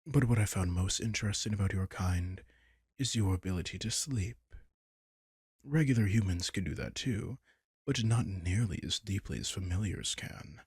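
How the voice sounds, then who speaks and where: Husky, low-voiced vampire king played by a man. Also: a little mellow, no echo, clean studio sound, exaggerated voice. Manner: teasing, condescending, casually profane. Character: possessive, sardonic vampire king, smug and impatient. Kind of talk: intimate roleplay audio drama.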